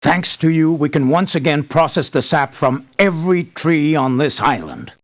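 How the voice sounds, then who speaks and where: An actor playing an earnest, measured male leader giving formal, deliberate briefings in a scripted video game. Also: fairly high pitch, expressive, close to an everyday voice. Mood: pleased. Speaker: mission briefer.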